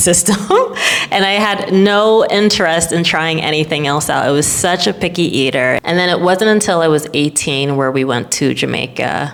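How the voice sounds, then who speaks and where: A woman from Florida, an herbalist, telling her personal story to camera in a candid, reflective, unhurried way. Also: low voice. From Florida, United States.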